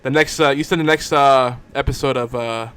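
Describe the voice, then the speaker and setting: A middle-aged man hosts a casual chat show. His voice sits at a medium pitch and he talks in a loose, bantering way. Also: fairly even intonation, normal speed, a bit bright, clean tone, no room sound. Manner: joking, bantering. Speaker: podcast host.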